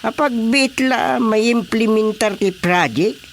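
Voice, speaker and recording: A man's voice: high-pitched, expressive, slow pace, slight background noise, exaggerated voice. A man with an Ilocano Filipino accent playing a boastful, self-important village chairman in a radio comedy drama. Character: boastful village chairman planning his grand birthday party.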